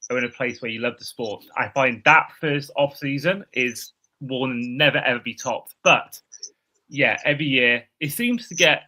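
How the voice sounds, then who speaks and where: A man hosting a football podcast, excitable and stammering a little at the start of phrases. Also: medium pitch, moderate pace, low-quality recording. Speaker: podcast host. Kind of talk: casual podcast chat.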